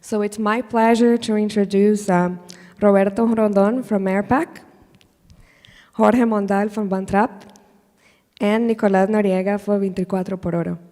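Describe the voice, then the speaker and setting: A woman's voice: medium pitch, fairly even intonation, moderate pace, warm tone. A woman moderating a conference panel, introducing speakers and putting questions to them. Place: conference hall. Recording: echoey hall with long reverb.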